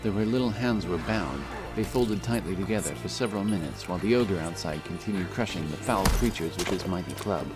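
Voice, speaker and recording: Male narrator, low register, dramatic scripted fantasy storytelling over prominent music. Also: a little fast, few pauses. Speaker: audiobook narrator. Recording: loud music behind the voice.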